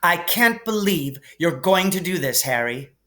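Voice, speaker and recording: A man's voice: sits high, lively intonation, a little slow, bright, forceful tone, pitched above the performer's own voice, exaggerated voice. A man voicing a bossy, disapproving schoolgirl in a prim, scolding storybook read-aloud.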